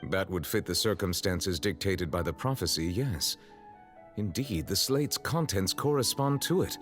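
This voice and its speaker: An actor playing a grave, formal male judge in video game dialogue, speaking in a measured, solemn way. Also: low voice, animated, soft background music.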